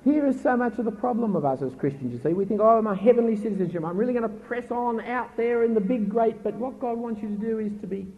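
A middle-aged man preaching a sermon in an earnest, emphatic way with a naturally loud voice, asking his listeners pointed questions. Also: sits high, quick pace, hardly any pauses. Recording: tinny phone-like recording with faint noise.

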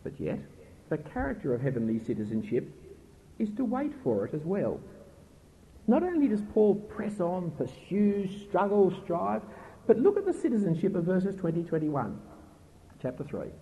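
A middle-aged man preaching a sermon in an earnest, emphatic way with a naturally loud voice, asking his listeners pointed questions. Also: sits high, wildly swooping pitch, normal speed. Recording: tinny phone-like recording with faint noise.

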